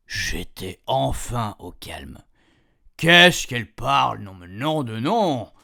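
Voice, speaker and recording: A man's voice: mid-range voice, gravelly, sharp tone, no room sound, clean studio sound, heavily acted. A man playing a chatty older husband who tells his camping memories in colloquial French-accented speech for a radio fiction, savouring words and grumbling in asides. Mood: grumbling, exasperated. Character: chatty, grumbling, nostalgic married man, an amateur camper. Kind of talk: first-person storytelling in a radio fiction podcast.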